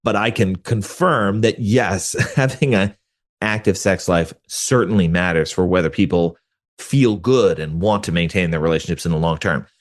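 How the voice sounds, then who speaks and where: A man speaking as a guest expert in an interview, explaining research in an animated, colloquial way and acting out imagined quotes. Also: sits low, moderate pace. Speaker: guest expert, psychology professor.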